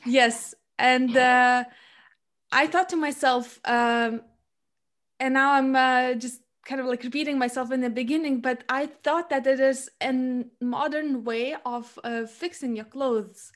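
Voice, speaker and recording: A young woman hosts a relaxed podcast interview. Her voice sits a touch high for a woman, and she keeps stopping for filler sounds as she thinks aloud. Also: measured pace. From Lithuania. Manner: hesitant, many filler sounds. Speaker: podcast host. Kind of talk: casual interview conversation.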